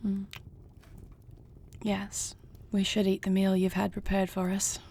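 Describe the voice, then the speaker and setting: A woman playing an affectionate, dutiful queen in an intimate fantasy audio roleplay, speaking softly and formally with measured, murmuring diction. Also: medium pitch, completely flat delivery, slight background noise. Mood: flirtatious, tender. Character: affectionate, dutiful dragon queen, newly wed to a princess.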